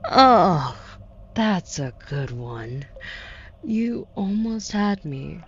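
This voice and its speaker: A muffled, slightly noisy recording carries a woman acting as a haughty female demon in an audio roleplay. Her voice is low and slow, drawling with sardonic, flirty condescension, like someone used to getting her way. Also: big pitch swings, full character voice. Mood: mocking, skeptical. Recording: muffled, with audible background noise.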